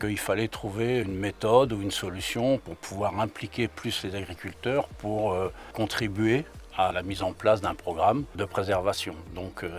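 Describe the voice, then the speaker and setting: Man, fairly deep voice, conversational interview testimonial, hesitant phrasing. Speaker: local water authority representative.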